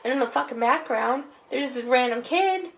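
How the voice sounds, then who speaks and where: A woman's voice: sits high, expressive, normal speed, creaky, lo-fi sound. A young woman chatting informally as a guest co-reviewer on a film discussion, swearing now and then. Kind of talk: casual chat, film review.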